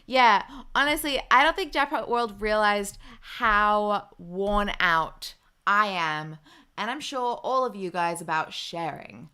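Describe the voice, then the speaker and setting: A young woman hosting a live stream, chatting loosely with her viewers. Her voice is bright and crisp, and she fills her pauses with easy ums while she reads out comments. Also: mid-range voice. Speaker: livestream host. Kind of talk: casual livestream chat.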